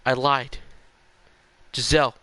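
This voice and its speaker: A man voicing an earnest, guilt-ridden young man from a visual novel, halting and stammering in a subdued way. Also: mid-range voice, little pitch movement, pushed and bright, no echo. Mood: anxious, earnest. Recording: music behind the voice.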